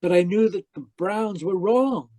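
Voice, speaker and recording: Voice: mid-range voice, measured pace, warm tone, dry recording, close to an everyday voice. An actor in a radio mystery playing a distraught, newly dead spiritualist, dramatic and earnest. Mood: haunted narration. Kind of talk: old-time radio mystery acting and narration.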